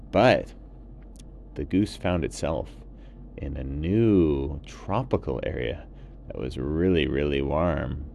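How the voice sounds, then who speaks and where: A man's voice: extremely low pitch, gravelly, warm tone, a little hiss or hum. A man narrating a bedtime story for young children in simple, repetitive phrases. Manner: simple, repetitive, asks listeners questions.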